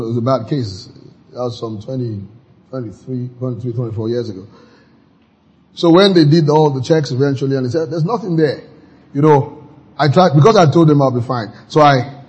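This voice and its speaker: A male pastor preaching a sermon, exuberant, with sudden shouts of praise between stretches of scripture reading. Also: medium pitch, highs cut off. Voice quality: very husky.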